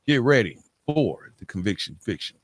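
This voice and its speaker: A man's voice: medium pitch, very animated, normal speed. A man hosting a podcast, moving between scripted introductions and casual interview questions. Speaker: podcast host.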